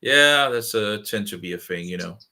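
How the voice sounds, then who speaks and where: A man from Denmark with a Danish accent, talking in halting, rough-edged speech with restarts on a casual video call. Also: lowish voice, lively intonation, pushed and bright. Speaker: video chat participant.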